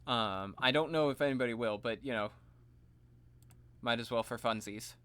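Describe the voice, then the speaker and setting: A man streaming live, chatting casually and laughing at himself, his voice slightly gravelly and tired. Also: mid-range voice, moderate pace, fairly bright tone, dry recording. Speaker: streamer and voice actor.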